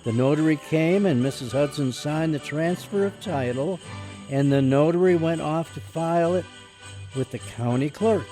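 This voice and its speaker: An actor playing a gloomy, soft-hearted young man who is cowed by his father, speaking hesitantly in a radio drama. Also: fairly high pitch, moderate pace, soft background music, close to an everyday voice, poor audio. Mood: wry, subdued.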